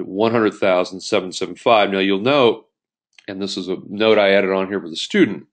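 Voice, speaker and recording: A man's voice: fairly low pitch, a bit bright. A man giving an explanatory lecture as an accounting instructor, working step by step through an example and restating key points. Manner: step-by-step, rephrases key points, poses questions to himself.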